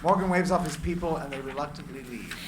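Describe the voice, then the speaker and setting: A man's voice: fairly high pitch, animated, fast talker. A man reading stage directions as scripted narration for a screenplay. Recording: echoey room with clear background noise.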